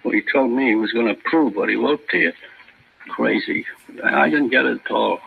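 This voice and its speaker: Gravelly, aggrieved small-time crook. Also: male voice, mid-range voice, moderate pace. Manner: slangy, clipped, repetitive, insistent. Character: aggrieved small-time thief, nervy self-justifying male informant. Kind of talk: 1950s radio crime drama acting. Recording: dull, noisy old radio recording.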